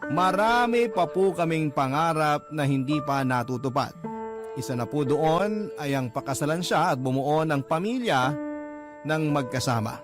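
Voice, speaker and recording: A man's voice: a little high, lively intonation, normal speed. A man narrating a first-person letter in a radio drama, in an earnest, confessional and respectful way. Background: music.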